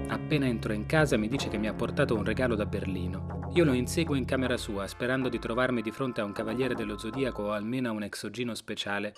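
A man in his late thirties from Rome, reading scripted first-person radio narration. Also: medium pitch. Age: late 30s. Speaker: documentary author and narrator.

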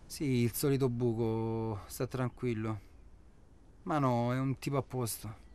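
An actor playing an impatient, rough guide of a sinister safari in a radio drama, speaking in a curt, pressing, colloquial way. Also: male voice, medium pitch, fairly even intonation, unhurried, a little mellow, close to an everyday voice. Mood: reassuring. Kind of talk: naturalistic Italian radio drama acting.

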